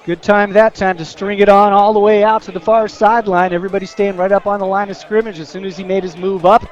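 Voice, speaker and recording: High-voiced American color commentator, dull radio sound. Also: male voice, level tone, fast talker. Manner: conversational, partisan for the home team. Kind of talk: live football analysis between plays. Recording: dull lo-fi sports radio broadcast.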